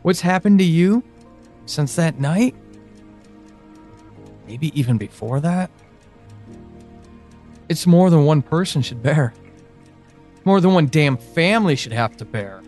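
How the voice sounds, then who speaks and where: An actor playing an anguished, pleading, devoted man in an audio drama monologue, speaking haltingly and emotionally. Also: a little high, animated, darkish tone, soft background music. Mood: apologetic, tender. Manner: halting, hesitant, emotional, with sighs and pauses.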